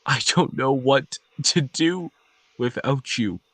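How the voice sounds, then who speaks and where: A man's voice: mid-range voice, lively intonation, slow, fairly bright tone, dry recording. A man at a script table read playing a haunted man grieving his lost lover. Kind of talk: dramatic script reading.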